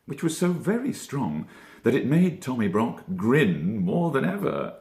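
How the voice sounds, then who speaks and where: A man reading a children's story as an actor would, with theatrical, expressive and deliberately dramatic phrasing. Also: fairly low pitch, echoey room.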